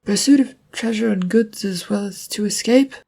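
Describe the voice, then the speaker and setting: A man's voice: sits high, moderate pace, gravelly, warm tone, voice pushed up. A man voicing a defiant young woman spacefarer who answers bluntly, in an audio story.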